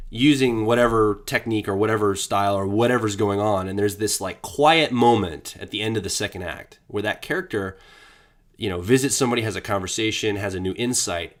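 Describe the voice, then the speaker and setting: Male podcast co-host, lowish voice, playful, rambly explainer. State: slight scratch in throat. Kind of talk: casual podcast chat and explaining.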